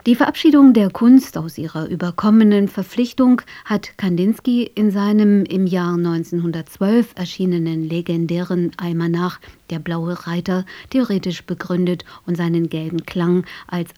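A woman reading a scripted introduction for a radio arts broadcast in a measured, factual way. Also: lowish voice, steady tone, warm tone. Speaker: radio presenter introducing an audio drama.

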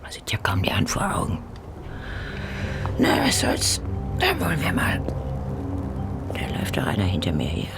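An actress playing a coarse, cheeky Victorian street prostitute in a radio play, rough in her talk and given to muttering. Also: a bass voice, huge pitch swings, normal speed, long pauses, in a whisper, over loud music, exaggerated voice. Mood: muttering, wary.

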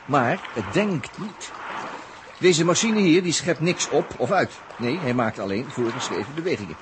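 A noisy old radio drama recording of a jocular male cyberneticist played by an actor. He has a Netherlands Dutch accent and a lively, fairly high voice, thinking aloud in colloquial, playful phrases. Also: normal speed, lightly acted. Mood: gleeful, explaining. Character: cheeky, ingenious male cyberneticist on a space crew. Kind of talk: 1960s Dutch science-fiction radio play acting.

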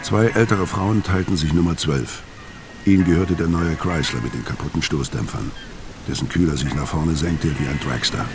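A man's voice: very deep voice, normal speed, music in the background. An actor in a radio crime drama playing a laconic, brooding, world-weary middle-aged tough guy. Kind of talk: first-person narration in radio crime drama.

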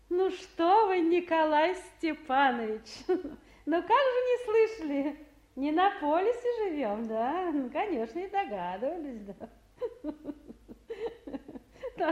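Casting: brisk, businesslike female council boss, high voice, vintage radio drama, roomy studio sound. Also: a little mellow. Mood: flustered, tender. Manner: businesslike, commanding, conversational. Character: brisk, bossy female town council official, warm underneath. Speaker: actress in a radio play. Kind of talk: 1950s Soviet radio drama acting.

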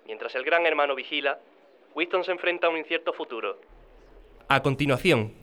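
A man's voice: fairly high pitch, brisk, pushed and bright. Formal male radio narrator from Spain. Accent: Spanish (Spain). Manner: formal, theatrical announcing. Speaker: narrator and presenter. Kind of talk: scripted radio drama narration. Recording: music behind the voice.

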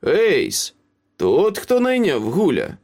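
Man playing a wry, menacing wizard, lively delivery. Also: a little high, slow, pitched above the performer's own voice, exaggerated voice. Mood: mocking. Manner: mocking, casually threatening.

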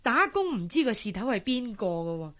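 A woman's voice: fairly high pitch, sing-song, fairly quick, a bit bright. An actress playing a down-to-earth mother of a teenager in a radio drama, plain-spoken and mildly scolding. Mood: nagging. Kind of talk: Cantonese radio drama acting.

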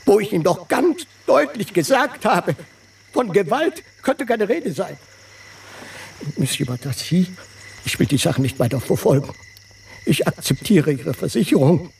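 An actor playing a pompous, indignant gentleman who speaks formally and huffily about his honour in a German radio play. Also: high-pitched, sing-song, a little slow, creaky, pauses often, distant mic, a little hiss or hum, exaggerated voice.

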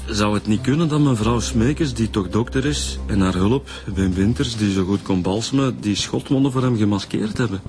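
An actor playing a keen Flemish detective inspector in a radio drama, explaining things in a colloquial way. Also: male voice, lowish voice, normal speed, hardly any pauses, over music, close to an everyday voice. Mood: eager theorizing. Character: keen male detective inspector setting out his findings. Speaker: actor in a radio police serial.

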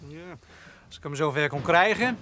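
An actor playing a polite, eager young suitor in a radio drama. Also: male voice, on the high side, lively intonation, normal speed, bright, forceful tone, slight background noise. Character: polite, eager young man courting a daughter. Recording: music under the dialogue.

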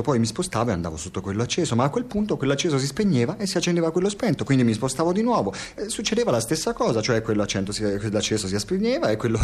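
An actor playing a talkative, insecure intellectual researcher in an Italian radio drama, with an Italian accent, rambling and needling in a naturalistic way. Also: male voice, medium pitch, a little mellow, husky. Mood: musing, uneasy.

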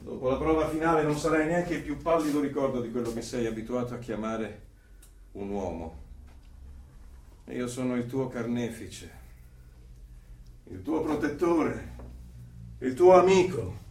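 An actor playing a cold, sadistic torturer of a totalitarian regime, delivering deliberate, pompous, menacing theatrical speeches. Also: male voice, mid-range voice, a little mellow, close to an everyday voice. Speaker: stage actor. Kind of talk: theatrical dystopian monologue. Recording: roomy, distant, noisy stage recording.

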